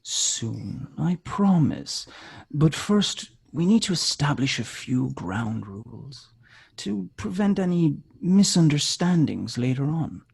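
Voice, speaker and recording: Man acting a husky, slow, menacing kidnapper. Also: on the high side, sing-song, a little mellow, exaggerated voice. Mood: sickly sweet threat. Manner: slow, taunting, mock-courteous. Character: menacing supernatural male kidnapper, sinisterly polite. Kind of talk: screenplay table read.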